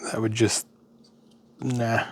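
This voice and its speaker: A man's voice: mid-range voice, level tone, slow pace, a bit bright. American man muttering over noisy audio. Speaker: host of an electronics teardown video. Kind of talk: casual unscripted commentary while working. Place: indoors at a workbench. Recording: clearly noisy home recording, sometimes off-mic.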